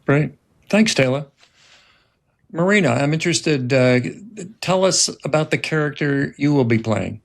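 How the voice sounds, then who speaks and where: A man hosting a conversational interview, asking his questions in a halting way. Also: a little high, expressive, gravelly. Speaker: interviewer, host.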